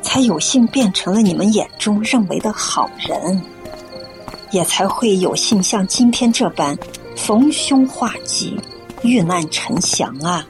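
An actress playing a kindly, patient middle-aged auntie who explains things earnestly in a radio drama. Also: female voice, mid-range voice, animated, measured pace, faint music behind.

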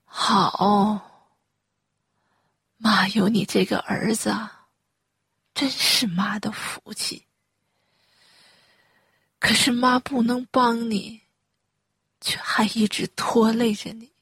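A woman acting a frail, ailing mother in a Chinese radio drama, gentle and weary, with a breathy delivery. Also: fairly high pitch, little pitch movement, slow, pauses often, no room sound, clean studio sound, full character voice. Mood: wistful, weak.

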